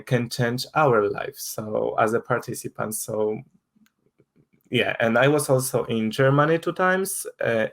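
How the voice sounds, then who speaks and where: A young man from Poland speaks English with a Polish accent, his first language being Polish. He talks in a casual interview, often restarting words and reaching for fillers. His voice sits at an ordinary middle pitch. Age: young adult. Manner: hesitant, many restarts and fillers. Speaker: guest.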